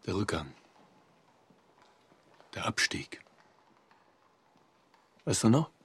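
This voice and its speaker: An actor playing a melancholy middle-aged merchant senator in a radio drama, speaking in a halting, weary way. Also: male voice, on the low side, sing-song, husky, full character voice. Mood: brooding, weary. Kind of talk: German radio drama acting.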